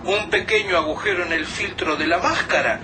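A man with a Rioplatense accent plays an anxious survivor in a radio drama, talking in quick urgent bursts. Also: high voice, close to an everyday voice. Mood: suspicious. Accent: Rioplatense Spanish. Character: tense male survivor giving directions. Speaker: Lucas, a survivor. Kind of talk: amateur radio drama acting. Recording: distant mic with background noise.

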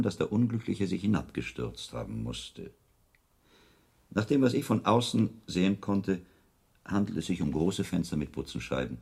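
An actor playing a shrewd, learned monk investigator in a German radio drama, reasoning calmly in deliberate, precise phrases with a husky voice. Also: male voice, low-pitched, exaggerated voice. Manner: deliberate, precise, logical phrasing with weighty pauses.

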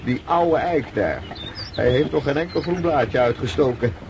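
A man's voice: medium pitch, lively intonation, moderate pace, close to an everyday voice. An actor playing a simple, deferential coachman in a radio drama, chatty, folksy and delighted. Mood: cheerful wonder. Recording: muffled, noisy old radio drama recording.

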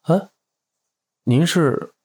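A man playing a sincere, easily flustered young man in love in an audio drama, soft and hesitant. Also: fairly high pitch, extremely slow, in a large hall.

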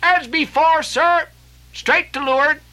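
A man voicing a sailor who shouts reports down from the masthead. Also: extremely high pitch, takes it slowly, pitched above the performer's own voice, full character voice. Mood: shouting. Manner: shouted hail from far up. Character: jaunty male sailor calling down from the masthead.

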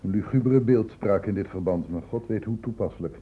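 An actor playing a grave, authoritative male doctor in a radio drama, speaking formally and deliberately. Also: lowish voice, dark tone, close to an everyday voice. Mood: grave, concerned. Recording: narrow, noisy old radio recording.

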